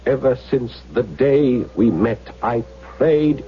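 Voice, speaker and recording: An actor playing an exuberant, domineering young German Nazi officer, effusive and insistent, in a 1970s radio drama. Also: male voice, mid-range voice, slow, breathy edge. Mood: earnest, insistent. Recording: muffled old radio broadcast, faint music.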